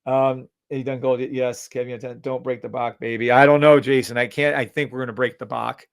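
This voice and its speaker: A middle-aged man runs a live trading stream, barking out orders in short bursts and swearing freely. His voice is gravelly but smooth and resonant. The sound is dry and close, with no room echo. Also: mid-range voice, level tone, moderate pace. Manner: brash, profane, calls out orders in bursts. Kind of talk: live trading commentary with viewers.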